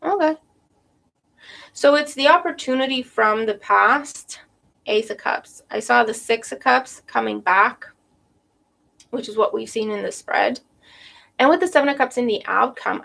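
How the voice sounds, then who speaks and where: A woman's voice: fairly high pitch, measured pace, vocal fry, fairly bright tone. A woman giving a live tarot reading to viewers, losing her voice with a cold, thinking aloud and often checking in with "right?". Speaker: tarot reader.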